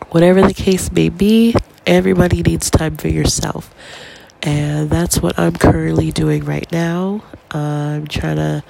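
A congested young woman hosts her podcast, her stuffy voice full of deep gravelly fry. She chats in a relaxed, encouraging way, filling pauses with ums. A faint noise sits under the recording. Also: rough recording. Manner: chatty, encouraging, many ums. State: congested with a cold, stuffy nose. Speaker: podcast host. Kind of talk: casual solo podcast advice.

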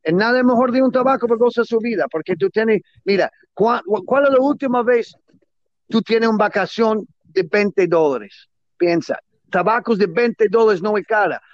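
A man with a high voice speaks enthusiastically in broken, halting Spanish that is not his first language. The sound is dry and a little dull, with no room echo. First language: not Spanish; Spanish is his second or third language. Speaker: guest, cigar businessman. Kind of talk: closing remarks in a casual interview.

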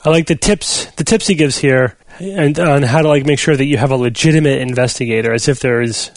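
A man hosting a podcast and leading a casual panel discussion in a measured, explanatory way. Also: mid-range voice, no echo. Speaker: podcast host.

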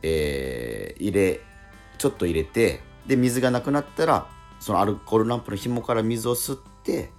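A man hosting a radio show, chatting casually and explaining things in a polite, halting way. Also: on the low side, animated, faint music behind. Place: radio studio.

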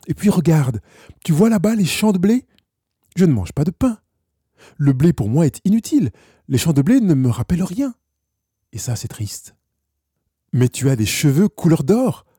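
Man reading as a wistful storybook fox, very mellow. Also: a little high, big pitch swings, moderate pace, pauses often, breathy edge, no echo, clean studio sound. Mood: wistful, hopeful. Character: wistful, lonely wild fox longing to be tamed.

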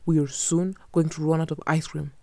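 A woman voicing a tall, calm man who speaks plainly and steadily in a children's story. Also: low-pitched, fairly quick, no echo. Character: tall, calm man, ice cream maker.

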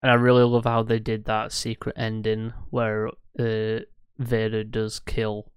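A man's voice: on the low side, completely flat delivery, measured pace, clear, resonant tone, no echo, clean studio sound. A man co-hosting a podcast in casual gaming chat, hesitant and often losing his train of thought. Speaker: podcast co-host.

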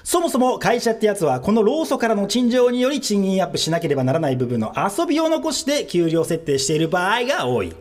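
A man's voice: sits high, big pitch swings, fairly quick, few pauses. A man playing a wry office worker who narrates a comedic radio drama. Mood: wry, explanatory.

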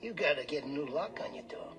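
An actor in a radio drama playing a pushy, greedy small-time hustler who talks in cocky, needling slang. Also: male voice, on the high side, lively intonation, quick pace, close to an everyday voice. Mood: smug. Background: music.

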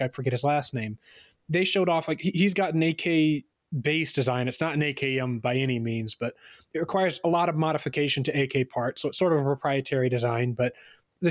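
A man with a fairly high voice talks as a podcast guest in a very dry recording. He explains technical matters casually, in rambling stories. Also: dry recording. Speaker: podcast guest, firearm designer.

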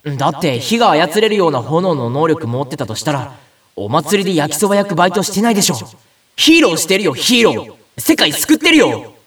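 A man acting an ordinary, excitable young big-brother type in a Japanese audio drama, chatty and comically flustered, with first-person narration. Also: a little high, a little fast, far from the mic. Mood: earnest self-deprecating.

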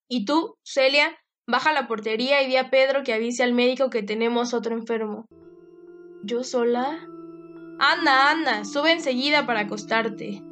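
A woman doing a loud, brusque father. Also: on the high side, lightly acted. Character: stern gruff father, middle-aged man.

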